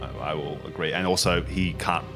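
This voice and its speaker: A man's voice: low-pitched, steady tone, normal speed. A man running a tabletop role-playing game as game master, talking casually and improvising as he goes. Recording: music playing behind the voice. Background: music.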